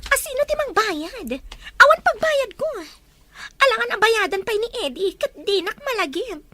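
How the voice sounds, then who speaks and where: A woman's voice: very high voice, lively intonation, normal speed, bright, forceful tone, slight background noise, heavily acted. A woman playing a remorseful, pleading pregnant young mistress in an Ilocano audio drama. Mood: resigned.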